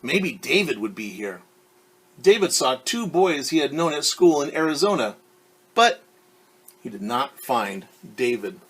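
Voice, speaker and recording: A man's voice: medium pitch, big pitch swings, a bit bright, breathy edge. A male teacher reading a picture book aloud to children, stopping to explain words and ask them questions. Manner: pauses to explain words and quiz young listeners.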